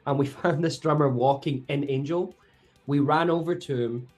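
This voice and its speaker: Relaxed, laughing male band member. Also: fairly high pitch, normal speed, soft background music. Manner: relaxed, laughs often, hesitant fillers. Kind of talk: casual interview chat.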